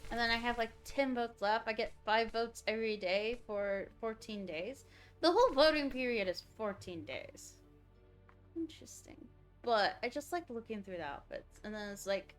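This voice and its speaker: A woman livestreaming a game, chatting casually and thinking aloud as she reacts to what is on screen. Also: a little high. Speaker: game streamer.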